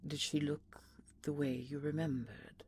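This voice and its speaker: An ancient dragon, played by a man, speaks with heavy creak in a slow, halting, intimate murmur. The voice is secretive yet tender, in fantasy audio drama style, with faint noise behind it. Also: a little high, little pitch movement. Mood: uneasy dread. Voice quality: gravelly, often whispery. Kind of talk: intimate audio roleplay acting.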